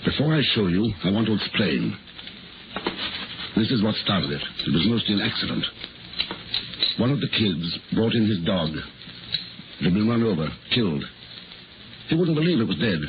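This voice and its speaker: On a thin, noisy 1950s radio broadcast, a surgeon consumed by his secret experiments. The man's voice is very low, and he speaks in short, earnest, uneasy phrases with an intense drive. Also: big pitch swings, normal speed, pauses often, dull audio. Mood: earnest confession. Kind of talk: 1950s radio drama acting.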